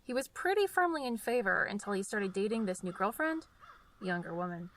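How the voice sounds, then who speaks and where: A woman's voice: mid-range voice, very animated, brisk, a bit bright, slight background noise. A young woman acting in a radio drama as a practical, loyal travel companion, dry and slightly prim. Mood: dry, deadpan.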